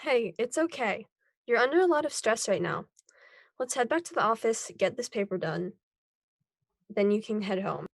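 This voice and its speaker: A woman playing a calm, reassuring superhero team leader in a table read of a scripted drama. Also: a little high, moderate pace, gravelly, pauses often, no room sound, clean studio sound. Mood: gently soothing.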